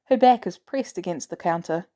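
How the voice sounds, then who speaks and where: A woman's voice: fairly low pitch, expressive, moderate pace, warm tone. A woman reading a scripted story aloud as a narrator. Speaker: audiobook narrator of fan fiction.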